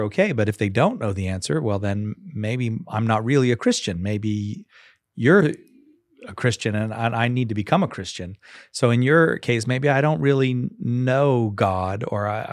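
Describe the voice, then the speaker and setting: A man's voice: lowish voice, no echo, clean studio sound. A man hosting a casual, conversational interview. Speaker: interviewer, host.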